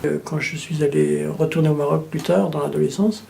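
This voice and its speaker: Middle-aged man born in Morocco, gravelly voice, mellow tone, measured interview talk, faint ambience. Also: fairly high pitch, steady tone, faint music behind. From Morocco, raised in France. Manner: measured, thoughtful, repeats words while searching. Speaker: electrical engineer interviewee.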